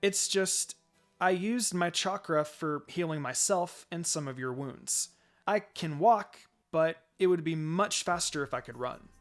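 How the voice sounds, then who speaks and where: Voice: medium pitch, measured pace, lightly acted. Someone reading as a shy young ninja girl, hesitant and rambly, story reading with music behind.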